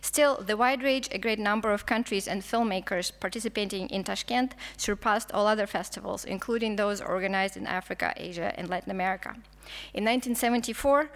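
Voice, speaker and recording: A Russian-accented woman delivers a scholarly conference talk at a middling pitch, tripping over words and restarting often. Her voice rings out with the long reverb of a lecture hall. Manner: halting, frequent restarts and fillers. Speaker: film historian lecturer. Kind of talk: academic conference talk, read from notes.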